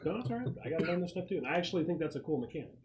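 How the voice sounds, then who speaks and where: A man's voice: on the high side, big pitch swings, rapid-fire, a loud crowd. A man casually refereeing a tabletop roleplaying game as game master, explaining rules and outcomes. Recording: clearly noisy room recording.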